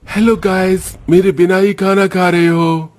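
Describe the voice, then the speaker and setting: A man's voice: high-pitched, level tone, measured pace. A man voicing male characters in a family cartoon story, with an everyday manner. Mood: cheerful. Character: young male relative and friend, also family doctor.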